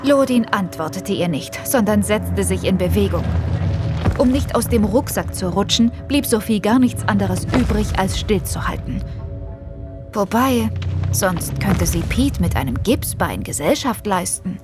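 Woman narrator reading a kids adventure story, normal mid pitch, music clearly behind her. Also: animated, unhurried. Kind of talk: scripted storybook narration for children's audio drama.

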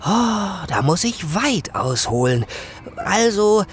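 A woman playing a lively, theatrical talking wooden puppet in a children's audio drama, with exclamations and old-fashioned flowery phrasing. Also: medium pitch, slow pace, a little hiss or hum. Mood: relieved, chatty.

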